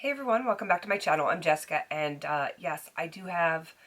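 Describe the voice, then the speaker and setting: A middle-aged woman with a raspy, slightly hoarse voice, chatting casually to camera about beauty products, with frequent ums and restarts. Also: fairly low pitch, lively intonation, brisk, fairly bright tone, soft background music. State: recovering hoarse voice. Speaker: beauty vlogger.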